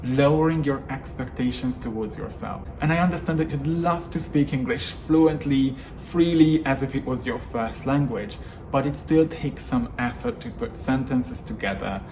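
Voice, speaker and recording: A man's voice: mid-range voice, normal speed, darkish tone, slight background noise, poor audio. A man whose first language is Hungarian, talking as an English coach in a scripted video lesson, emphatic and stressing words in lists. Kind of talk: scripted YouTube lesson monologue.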